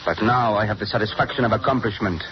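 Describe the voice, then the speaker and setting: A man playing a bitter, cold mad scientist in a 1930s radio drama, measured and formal, on a muffled old broadcast with hiss. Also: on the low side, natural voice. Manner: measured, coldly formal and menacing. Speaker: villain.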